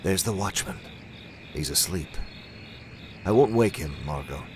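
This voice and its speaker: Deep voiced old-time radio mystery hero, calm n commanding, hypnotist detective type, noisy background. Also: male voice, a little slow. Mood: determined, confiding. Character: calm, shrewd male crime-fighting detective with hypnotic powers. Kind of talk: 1940s-style radio drama acting.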